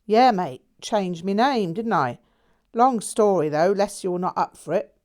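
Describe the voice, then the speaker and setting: A woman's voice: mid-range voice, unhurried, voice pushed up, natural voice. A woman reading a novel aloud, voicing a gleeful, brash man. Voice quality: loud, brash, slangy.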